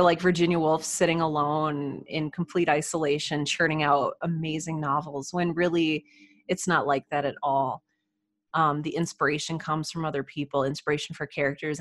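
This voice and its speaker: A woman with a low-pitched voice speaks as an interview guest. She is chatty and animated, explaining her creative work in a casual, conversational way and often quoting herself as she goes. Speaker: podcast guest, writer and audio drama creator. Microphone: USB desktop microphone.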